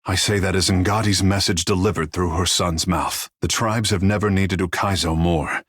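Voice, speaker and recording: Game role: young, self-regarding demigod artist; low husky voice, grand formal phrasing, close dry sound. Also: male voice, fairly even intonation, normal speed, a bit bright, no echo. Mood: lofty, solemn. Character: vain, theatrical young male demigod water shaper and artist. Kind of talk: video game character dialogue.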